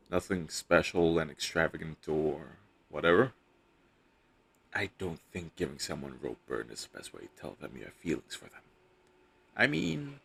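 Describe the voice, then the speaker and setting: A man's voice: rumbling low, vocal fry, husky, heavily acted. A man playing a captured superhero posing as a hostage in an intimate audio roleplay, wry, teasing and flirtatious. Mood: coy, suggestive.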